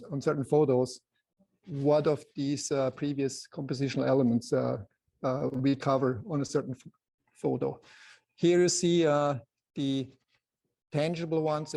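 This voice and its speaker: A soft-voiced man with a Swiss accent presenting an informal photography lecture to a group, in halting phrases with many pauses. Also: medium pitch, gravelly. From Switzerland.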